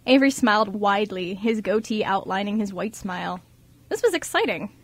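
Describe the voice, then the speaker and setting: A woman's voice: medium pitch, lively intonation, fairly quick, fairly bright tone, dry recording. A woman reading scripted narration as the narrator of an amateur radio drama.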